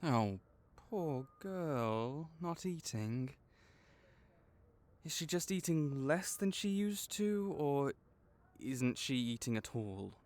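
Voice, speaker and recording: A man playing a gentle, caring vet for magical creatures in an audio roleplay, speaking in a measured, reassuring, explanatory way. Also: mid-range voice, lively intonation. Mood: concerned, gentle. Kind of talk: soft-spoken one-sided comfort roleplay.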